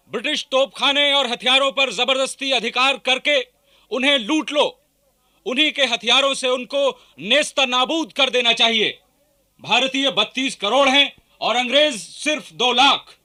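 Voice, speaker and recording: A fiery revolutionary man, rousing soldiers to revolt. His voice sits extremely high for a man and has a hard, blazing edge. The delivery is impassioned public oratory. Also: pushed and bright, heavily acted. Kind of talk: radio play speech.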